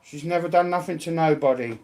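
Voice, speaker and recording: A man's voice: fairly high pitch, a little fast, darkish tone, poor audio. A man speaking informally and without a script, arguing in a blunt, indignant and emphatic way. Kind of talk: unscripted, informal argument.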